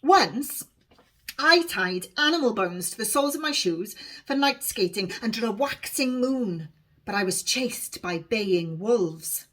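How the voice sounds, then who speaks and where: A woman voicing an excitable, boastful young Welsh girl in a storybook, eager and dramatic as she tells tall tales. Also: mid-range voice, unhurried. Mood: dramatic boasting.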